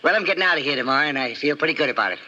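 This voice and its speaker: A small, chummy fellow chatters in a fast, very sharp voice with colloquial, hesitant phrasing. It is old radio drama, with a dull, lightly noisy sound. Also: male voice, a little high, animated. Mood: chatty, casual. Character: small, slight, chatty man just recovered, casually cadging cigarettes. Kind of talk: 1940s radio drama acting.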